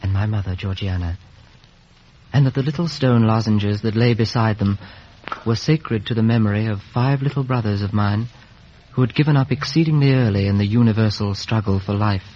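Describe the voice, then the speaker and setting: Casting: male actor as grave British storyteller looking back on childhood, measured, literary, on hissy vintage radio. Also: fairly low pitch, natural voice. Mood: somber, reflective. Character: grown man soberly recalling his bleak orphaned childhood. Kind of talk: scripted first-person radio drama narration. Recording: dull, hissy 1950s radio recording.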